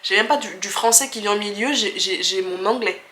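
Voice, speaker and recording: Young French woman vlogger, twenties, bright tone, casual and candid Q&A delivery, roomy echo. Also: medium pitch, fairly quick, a little hiss or hum. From France. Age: 20s. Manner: candid, chatty, many fillers and restarts, laughs easily. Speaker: travel vlogger. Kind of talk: casual chat answering viewer questions.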